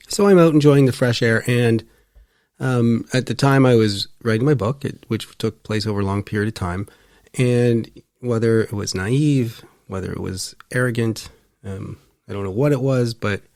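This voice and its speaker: Male podcast host, lower register, thoughtful personal monologue, deliberate phrasing, close dry sound. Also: a little mellow, no echo.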